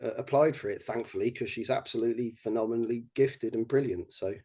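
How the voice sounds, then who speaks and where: A man with an English accent chatting as a podcast guest about his research project, talking haltingly with many fillers and restarts. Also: mid-range voice, warm tone, slightly rough. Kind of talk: casual podcast chat.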